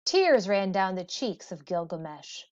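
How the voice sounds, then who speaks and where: A woman reads a story aloud in the manner of a teacher. Her delivery is very measured and expressive, with dramatic pauses. The recording sounds a bit dull and lo-fi. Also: mid-range voice. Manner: slow, deliberate, dramatic pauses. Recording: dull, muffled lo-fi audio.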